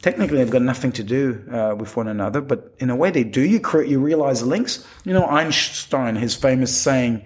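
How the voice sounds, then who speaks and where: A man's voice: medium pitch, a little fast, few pauses. A middle-aged man from Quebec with a French accent, a magician talking casually in an interview, rambling with many restarts and fillers. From Quebec, Canada. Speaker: guest, professional magician.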